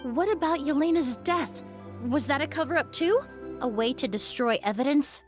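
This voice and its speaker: A woman's voice: sits high, faint music behind, natural voice. An actress playing a composed, incisive woman investigator in video game dialogue, speaking formally and precisely. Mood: probing. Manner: measured, formal, accusatory.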